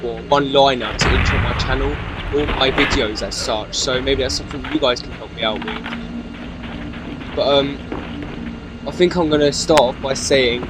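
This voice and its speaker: A British young man in his late teens giving hesitant, monotonous gameplay commentary, full of ums and restarts. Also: medium pitch, normal speed, heavy background noise. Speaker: gaming YouTuber. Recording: loud game gunfire behind. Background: loud video game gunfire and explosions.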